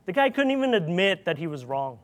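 A man with a Filipino accent and a notably high-pitched voice preaches in an informal, rambling way. He tells stories with frequent fillers and restarts. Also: sing-song, a little fast, fairly bright tone, slight background noise. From Philippines. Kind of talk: informal sermon storytelling.

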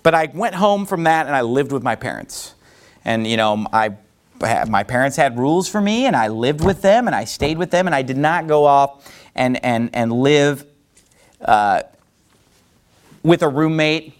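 A man preaching a sermon in an emphatic, animated way, pushing his points up with rising emphasis. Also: fairly high pitch, measured pace.

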